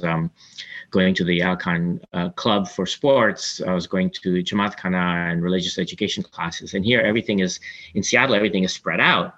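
A man's voice: low voice, pushed and bright, highs cut off. A man in his forties from Kenya, answering interview questions in a reflective, chatty way with many fillers. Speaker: interview guest.

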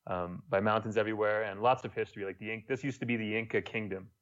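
A man's voice: lowish voice, fairly even intonation, quick pace, fairly bright tone, muffled recording. A young man from Montreal with a French Quebec accent, casually telling stories as a guest and swearing now and then. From Montreal, Canada. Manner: laid-back storytelling, swears casually. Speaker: guest, YouTuber. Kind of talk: casual podcast chat.

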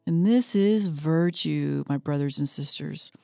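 Upbeat woman radio host, low-pitched. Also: a little slow, vocal fry, warm tone, no echo, clean studio sound. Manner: enthusiastic, upbeat interjections. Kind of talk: radio talk show. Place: radio studio.

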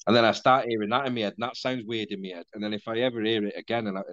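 A man in his forties with a softened Mancunian accent, chatting casually and self-deprecatingly as a podcast guest over a video call. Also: lowish voice, fairly even intonation, rapid-fire, dry recording, lo-fi sound. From Manchester, England.

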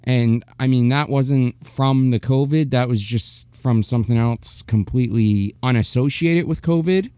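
A young man hosts his solo podcast in a loose, candid ramble, often circling back to restart his sentences. His voice is smooth and resonant, and it sits dry and close to the mic with no room around it. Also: mid-range voice, measured pace, hardly any pauses, darkish tone, dry recording. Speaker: podcast host. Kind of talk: casual solo podcast monologue.

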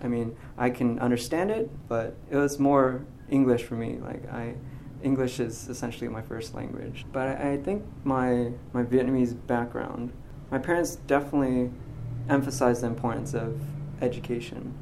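A young man in his twenties, a graduating physics student, talking in a casual interview, soft-spoken and hesitant with many ums and restarts. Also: medium pitch, fairly even intonation, moderate pace, creaky, hardly any pauses, slight background noise, rough recording. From United States. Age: 20s.

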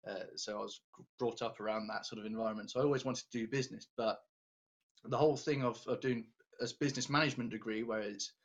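A man's voice: lowish voice, fairly even intonation, fast. A man, chatting casually as an interview guest. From England, born in Denmark. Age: 20s. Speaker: interview guest, business graduate. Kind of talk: casual chat.

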